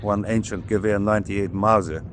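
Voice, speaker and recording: An actor playing a dutiful U-boat officer in a radio drama, precise, matter-of-fact and official in delivery. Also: male voice, on the low side, fairly even intonation, moderate pace, background noise, close to an everyday voice. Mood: sober, worried.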